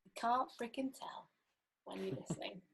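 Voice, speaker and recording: A woman's voice: on the high side, normal speed, gravelly, slightly rough. A woman drummer chatting casually on a podcast, thinking aloud with many fillers.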